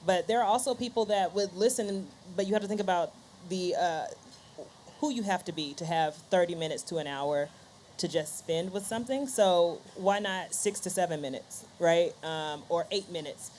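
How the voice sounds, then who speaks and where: A woman's voice: fairly low pitch, normal speed, a little mellow. A woman on a conference panel, talking conversationally and thinking aloud, often checking in with a short question. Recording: faint background ambient noise.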